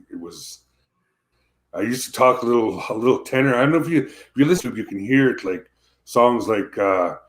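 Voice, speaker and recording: A man with a deep voice, a country singer talking casually about his music over a video call, often restarting and repeating words.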